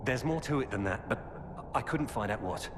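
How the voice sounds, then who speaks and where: An actor playing a weary, earnest former knight turned defector, giving grave, urgent warnings in a video game. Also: male voice, mid-range voice, lively intonation, normal speed, lightly acted. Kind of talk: dramatic video game dialogue. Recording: cavernous reverb with loud background noise.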